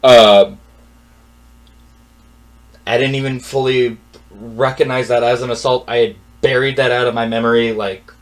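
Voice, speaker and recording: A young man telling a personal story in a casual rant, exasperated and repetitive, dropping to a mutter and then rising loud. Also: medium pitch, steady tone, measured pace, long pauses, rough recording.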